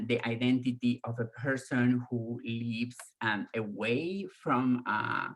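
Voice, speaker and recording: A woman's voice: very deep voice, poor audio. Frank woman with an Argentine accent. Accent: Argentine Spanish. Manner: candid, frank and profane, with many fillers; mocks others in raised voices when quoting them. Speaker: guest speaker. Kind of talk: personal talk to an online audience.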